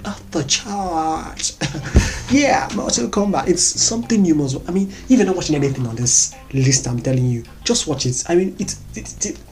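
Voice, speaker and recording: Excitable Nigerian-accented vlogger over faint music. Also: medium pitch, normal speed. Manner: excitable, chatty, laughs often, repeats words. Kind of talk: casual movie recommendations.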